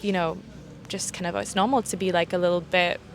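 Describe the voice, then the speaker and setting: Hesitant woman host, soft-spoken. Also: fairly low pitch, level tone, a little fast, soft background music. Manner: hesitant, trailing phrases, "you know". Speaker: interview host. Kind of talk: casual conversation.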